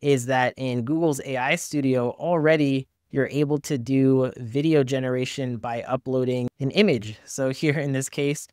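Clear-voiced man reviewing software conversationally. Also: medium pitch, fairly even intonation, moderate pace, few pauses, no room sound, studio quality. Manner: candid, explanatory, casual. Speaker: tech review video host. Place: small home studio room, indoors.

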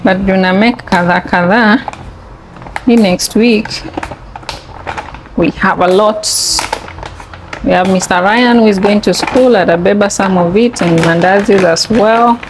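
A woman with a Kenyan accent talks through a task in casual vlog style, slipping between English and Swahili. Her pitch is medium for a woman. There is clear noise behind her voice. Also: measured pace, long pauses. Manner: mixes English and Swahili, explains as she works. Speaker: vlogger. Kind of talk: casual cooking vlog chat. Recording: clearly noisy home recording. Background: household noise.

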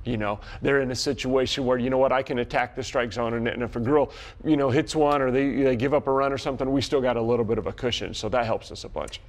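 Male, middle-aged, American. Folksy coach in a sports interview, medium pitch, repeats himself as he explains. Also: fast talker. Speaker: softball coach. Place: small indoor locker-style studio set.

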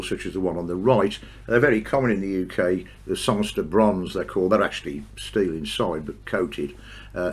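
A man with a husky voice, informally demonstrating old audio equipment in a hesitant, conversational way. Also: sits low, slight background noise. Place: indoor room with record player. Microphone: clip-on mic, not near enough.

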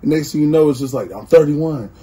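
A man in his thirties on a podcast, animated and storytelling, heard a bit away from the mic. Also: on the high side, quick pace. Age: 30s. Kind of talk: casual podcast chat.

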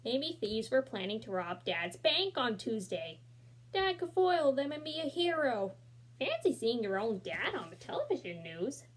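A young woman reading a picture book aloud, bright and expressive. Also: fairly high pitch, a little slow, breathy edge. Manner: expressive, with asides and small slips. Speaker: children's book reader. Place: small room indoors.

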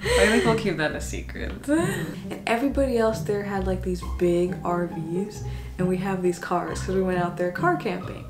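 An easygoing woman sits in a home office and recounts her camping trip to a fixed camera. Her voice sounds roomy. Music plays clearly underneath. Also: medium pitch, normal speed. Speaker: vlogger. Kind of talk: sit-down vlog narration.

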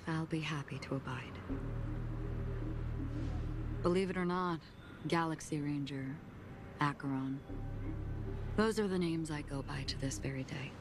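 A calm, enigmatic wandering swordswoman played by an actress in a video game. Her voice is low for a woman, with solemn, deliberate phrasing. Music is clearly heard behind her. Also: close to an everyday voice. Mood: solemn, composed. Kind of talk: scripted game dialogue. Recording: game dialogue over background music.

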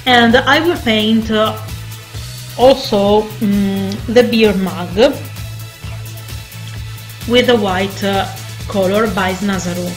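A young woman with an Italian accent, Italian her first language, hosting a face painting tutorial from a small bedroom, speaking haltingly with many fillers. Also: mid-range voice, steady tone, soft background music. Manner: slow, hesitant, many fillers. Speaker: makeup tutorial host.

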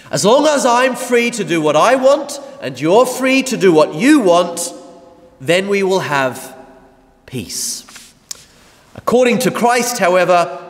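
A priest in his thirties delivers a slow, deliberate homily from the lectern, leaning on long pauses and strong emphasis. His voice sits high for a man and swings widely in pitch as he stresses key words. The sound carries the long reverb of a big church. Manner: measured, emphatic preaching with dramatic pauses. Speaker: parish priest. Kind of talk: sermon. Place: large church, in the sanctuary. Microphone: mounted lectern mic.

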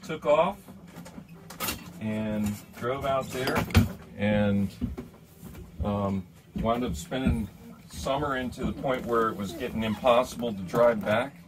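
A man's voice: lowish voice, recorded from a distance, background noise. An older man, a retired police officer, telling stories in a chatty, enthusiastic way with many fillers, inside a small boat cabin. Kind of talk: casual storytelling chat.

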